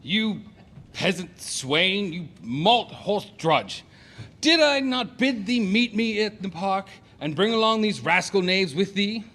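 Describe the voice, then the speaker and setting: A man's voice: high-pitched, lively intonation, slow, pushed and bright, heavily acted. A man playing a domineering, hot-tempered master of the house in a Shakespearean audio play, declamatory and imperious. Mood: angry, imperious.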